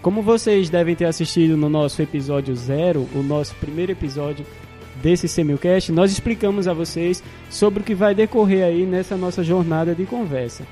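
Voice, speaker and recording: Young male co-host, dark mellow tone, podcast introduction, faint guitar music. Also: on the high side, fairly quick. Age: young adult. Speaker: podcast co-host, nursing student.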